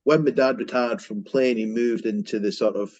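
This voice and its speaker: A man's voice: medium pitch, fairly even intonation, moderate pace, darkish tone, no echo, lo-fi sound. A Scottish man in his fifties, a mortgage broker telling his career story in an interview, with frequent ums and restarts. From Scotland. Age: 50s. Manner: hesitant, many fillers and restarts. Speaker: mortgage broker, company director. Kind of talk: business interview, casual storytelling.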